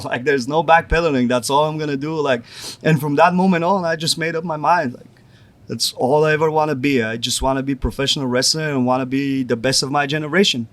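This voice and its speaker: A man's voice: fairly high pitch, a bit bright, no room sound. A young man from Bulgaria, a pro wrestler, chatting casually in an interview and leaning on "like" a lot. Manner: rambling, says "like" often.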